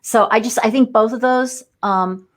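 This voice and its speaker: A woman's voice: a little high, normal speed. A woman guest in a live discussion, explaining her views in a thoughtful, rambling way with many fillers and restarts. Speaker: guest entrepreneur.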